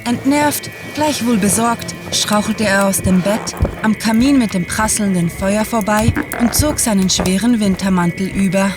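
A woman narrates a scripted story at a slow, measured pace. Her pitch sits in the middle range for a woman, and music plays clearly behind her voice.

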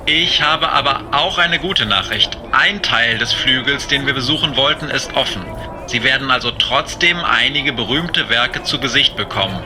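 An actor playing a composed, official Soviet tour leader, addressing a group formally and measuredly in a radio play. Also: male voice, medium pitch, moderate pace, over music, natural voice. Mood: reassuring.

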